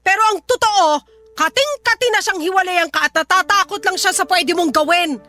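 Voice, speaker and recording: Very shrill scolding older mother. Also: normal speed, faint music behind. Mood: blunt, fed up. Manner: scolding, sharp, blunt. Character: older scolding mother, blunt and exasperated with her daughter. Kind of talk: Filipino radio drama acting.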